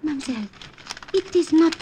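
High-pitched, flustered French maid. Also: female voice, lively intonation, fairly quick, warm tone, slight background noise. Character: flustered, timid young French maidservant. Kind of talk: 1940s radio drama acting. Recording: old radio broadcast recording.

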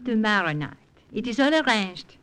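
An actress playing an alluring, teasing Frenchwoman with a French accent and a measured, gently mocking way of talking, in 1940s-50s radio drama style. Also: mid-range voice, very animated, low-quality recording. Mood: teasing, composed.

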